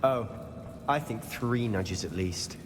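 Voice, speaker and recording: A man's voice: fairly low pitch, slow pace, background noise. A man playing a hot-headed, impatient teenage schoolboy wizard in a video game, with a British accent and a brusque, sardonic manner. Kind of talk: video game character acting.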